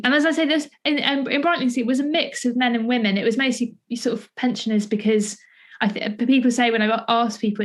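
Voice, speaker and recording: Young British female podcast interviewer, medium pitch, tentative and discursive, conversational delivery. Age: young adult. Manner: tentative, discursive, thinking aloud.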